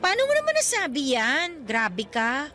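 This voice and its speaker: A woman's voice: sits high, wildly swooping pitch, a little slow, pushed and bright, heavily acted. A flustered young girlfriend. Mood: defensive. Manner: flustered and evasive, with playful scolding. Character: shy, secretive young female student girlfriend. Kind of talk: radio drama acting.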